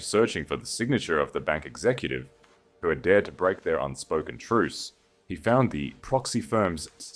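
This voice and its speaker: A man narrating a period romance story in a dramatic, scripted style. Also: low-pitched. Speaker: audiobook narrator.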